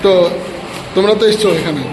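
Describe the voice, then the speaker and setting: A man's voice: sits high, fast. A man hosting a casual vlog, introducing his friends to viewers and teasing them with questions. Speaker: vlogger and host. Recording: busy crowd and street noise.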